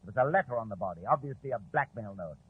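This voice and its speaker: Blustering, animated police inspector. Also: male voice, medium pitch, very fast, breathy edge. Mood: officious. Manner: officious, emphatic, blustering. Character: officious, blustering male Scotland Yard police inspector. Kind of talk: 1940s radio drama acting. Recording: tinny, dry old 1940s radio recording.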